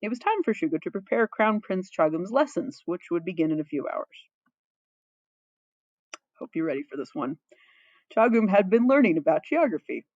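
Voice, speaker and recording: A woman's voice: fairly low pitch, sing-song, normal speed, pauses often, darkish tone, no room sound, muffled recording. A woman narrating fan fiction expressively, playfully dramatic, with the odd aside.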